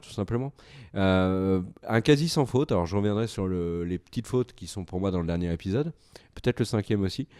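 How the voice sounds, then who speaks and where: Male solo reviewer, French accent, slightly low voice, hesitant and filler-heavy delivery. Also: warm tone. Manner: hesitant, many fillers and restarts. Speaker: video reviewer. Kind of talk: solo casual review to camera.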